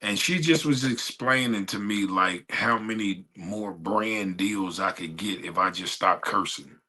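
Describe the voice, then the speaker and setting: A man with a raspy voice hosting a casual livestream chat, talking in laid-back slang and often asking "you know what I'm saying?". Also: fairly low pitch, unhurried, sharp tone. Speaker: livestream host.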